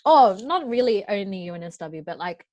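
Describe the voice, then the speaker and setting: A woman's voice: mid-range voice, big pitch swings, fairly quick, a little mellow, dry recording, highs cut off. A woman in her twenties with an Australian accent, chatting casually as a podcast co-host. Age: 20s. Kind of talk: casual group chat.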